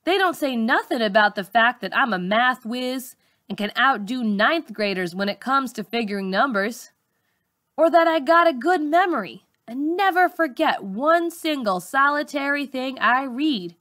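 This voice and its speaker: A woman reading a first-person story aloud in an expressive, dramatic teacher's storytelling style. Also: high voice, normal speed.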